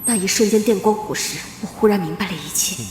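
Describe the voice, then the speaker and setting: A woman's voice: medium pitch, fast talker, natural voice. A woman playing a proud, wronged young noblewoman, narrating her own bitter story in a dramatic motion-comic drama. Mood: bitter, sorrowful. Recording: roomy reverb under loud music.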